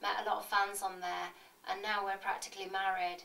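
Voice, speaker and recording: A woman reading scripted confessions with an awkward, deadpan delivery. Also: mid-range voice, a little fast, creaky. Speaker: reader of fans' confessions. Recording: echoey room, distant mic, faint noise.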